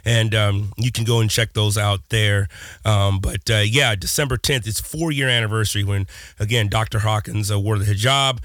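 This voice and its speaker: Hoarse low-voiced man hosting a podcast. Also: steady tone, normal speed, fairly bright tone, no room sound, studio quality. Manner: rambling, hesitant, with many fillers. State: hoarse, recovering from lost voice. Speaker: podcast host. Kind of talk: casual podcast intro.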